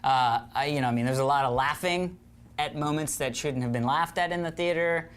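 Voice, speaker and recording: A man's voice: a little high, a little fast, a bit bright, smooth. A man co-hosting a film review show in casual talk, blunt and mocking in how he puts things.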